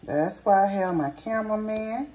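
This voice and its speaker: Hoarse woman vlogger, chatty and homey, mid-pitched, with a faint hiss under her voice. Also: moderate pace, mellow. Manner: chatty, talks to viewers as y'all, savoring mm sounds. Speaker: cooking vlogger. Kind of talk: casual cooking vlog with tasting. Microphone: phone or camera mic, recording alone in a kitchen.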